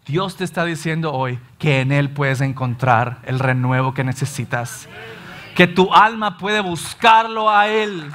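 A Salvadoran pastor in his thirties preaching an animated sermon from a church stage, often acting out his listeners' complaints. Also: a little high, measured pace, a little hiss or hum. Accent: Salvadoran Spanish. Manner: animated, gestures widely, acts out listeners' complaints. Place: church stage in front of a large screen.

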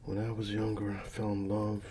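A middle-aged American man doing a soft-spoken ASMR barber roleplay, breathy and often near a whisper, with an unhurried, halting, fatherly manner. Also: lowish voice, completely flat delivery, background noise. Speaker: ASMR performer playing a barber.

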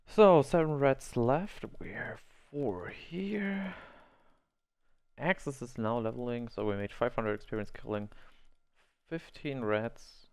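A man's voice: mid-range voice, slow pace. A man who is not a native English speaker gives rambling, think-aloud commentary while playing an online role-playing game, chuckling at his own mistakes. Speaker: gameplay video host.

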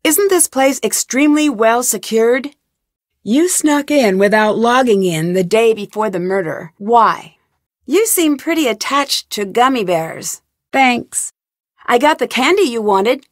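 A woman's voice: fairly high pitch, lively intonation, unhurried, no room sound, clean studio sound. A woman voicing a young woman detective in game voice lines, asking earnest, inquisitive questions in a polite tone. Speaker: voice actor. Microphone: studio mic, dry.

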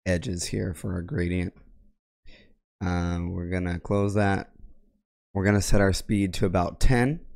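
Low-voiced sick man explaining software steps. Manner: plain, methodical instructions with frequent throat clearing. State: sick, raspy voice. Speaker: tech tutorial creator. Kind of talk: step-by-step software tutorial. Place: home gaming room, indoors. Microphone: boom mic on arm with headset.

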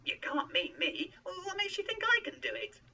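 A man's voice: very high-pitched, huge pitch swings, normal speed, sharp tone, far from the mic, lo-fi sound, a little hiss or hum, pitched above the performer's own voice, exaggerated voice. A man voicing a frightened, reluctant shepherd who stammers and protests in a dramatized Bible story. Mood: startled disbelief. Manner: stammering, exclaiming, pleading.

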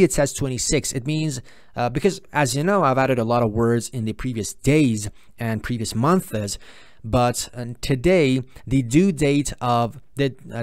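Persian-speaking man explaining software step by step. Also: mid-range voice, dry recording, studio quality. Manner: explanatory, repetitive, frequent "uh" and "for example". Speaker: tutorial host. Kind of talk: screen-recorded software tutorial.

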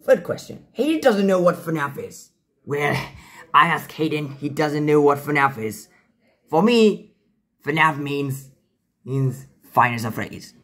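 A man voicing a jolly, boastful cartoon pirate fox with gravelly, halting, theatrical buccaneer talk. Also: a little high, very animated, a bit bright, heavily acted. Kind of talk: in-character fan Q&A voice lines.

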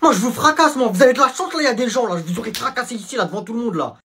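A young man plays a brash schoolboy who talks tough. He is loud and threatening and uses street slang. His voice is a little husky. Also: very high-pitched, lively intonation, rapid-fire, heavily acted. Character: pushy teenage schoolboy tough guy.

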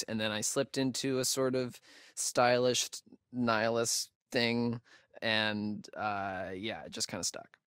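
An actor playing an awkward, nerdy young camp counselor in a video game, an American man who talks in a halting, self-conscious, easygoing ramble. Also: medium pitch, fairly bright tone, no room sound, clean studio sound, close to an everyday voice. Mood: sheepish, awkward. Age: young adult. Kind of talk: naturalistic game dialogue.

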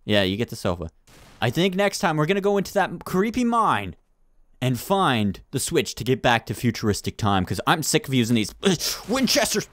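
Guy hosting game roleplay, excitable, bouncy pitch, dry sound, bossing his buddies around. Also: moderate pace, dry recording. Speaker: gaming video host. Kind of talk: casual gameplay roleplay commentary.

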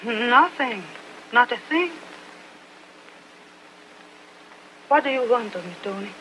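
An actress playing a determined young wife who speaks firmly and insistently, in old film acting style. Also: female voice, fairly high pitch, lively intonation, moderate pace, bright, forceful tone, a little hiss or hum, low-quality recording. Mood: quiet. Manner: firm, insistent.

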